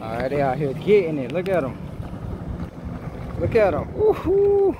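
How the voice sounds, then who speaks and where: Man in his 30s, vlog host, slow-paced and animated, over loud wind and water noise. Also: on the high side, lo-fi sound. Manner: excited, hyping up friends. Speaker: vlogger. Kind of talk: casual vlog commentary. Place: outdoors on open water.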